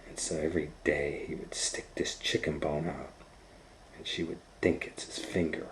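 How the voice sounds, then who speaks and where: A man reading a children's storybook aloud as host, with expressive, drawn-out storytelling. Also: rumbling low, vocal fry, slight background noise. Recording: roomy, echoing indoor sound.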